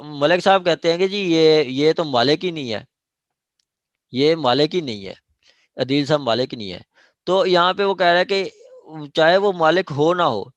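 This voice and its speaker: A man's voice: fairly high pitch, no room sound. A man with a Pakistani accent gives an informal law lecture, explaining step by step, repeating himself, checking in often and mixing in English legal terms. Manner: explanatory and repetitive, with frequent check phrases; switches between Urdu and English legal terms. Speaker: law lecturer at a judicial academy.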